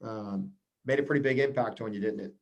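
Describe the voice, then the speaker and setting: A man's voice: on the low side, brisk, highs cut off. Man asking eager, rambling questions. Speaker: interview moderator. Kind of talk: interview questions.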